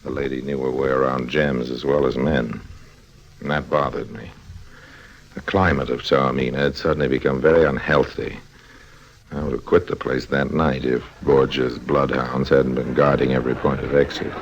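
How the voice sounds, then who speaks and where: A man's voice: a bass voice, little pitch movement, normal speed. A man acting a suave, roguish con artist in an old-time radio drama, wry and nonchalant. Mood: confiding narration. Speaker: lead actor and narrator. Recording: dull old radio recording.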